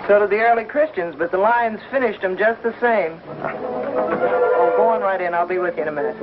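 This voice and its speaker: A woman's voice: medium pitch, moderate pace, close to an everyday voice. Blunt, wry frontier woman, acted. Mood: wry, doubtful. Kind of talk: 1950s Western television acting. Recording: dull old TV soundtrack with music.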